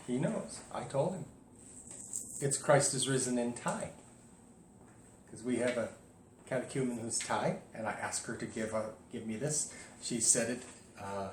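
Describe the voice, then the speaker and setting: Casual priest talking in an echoey room. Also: male voice, medium pitch. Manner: conversational, candid, emphatic rises. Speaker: Orthodox priest. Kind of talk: casual video talk to parishioners. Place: indoors at home. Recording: echoey room with faint noise.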